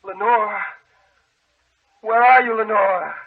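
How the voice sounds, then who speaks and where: Distraught grieving husband, old radio melodrama, high voice, thin phone-like sound. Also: male voice, slow, breathy edge, full character voice. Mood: feverish excitement. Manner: melodramatic, anguished, calling out. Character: distraught, obsessed grieving husband accusing his brother. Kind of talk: old-time radio horror acting. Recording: thin, band-limited vintage radio recording.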